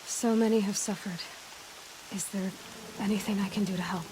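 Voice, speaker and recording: A woman's voice: mid-range voice, steady tone, normal speed, dark tone, slight background noise, natural voice, low-quality recording. A young woman voicing a determined outcast hunter in a game cutscene, asking direct, inquisitive questions. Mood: earnest. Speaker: game protagonist. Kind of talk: video game cutscene voice acting.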